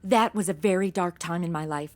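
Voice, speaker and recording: A woman's voice: lowish voice, a little fast, close to an everyday voice. A woman playing a flippant, ditzy modern young woman in a comedy audio drama, sarcastic and full of exclamations and slang.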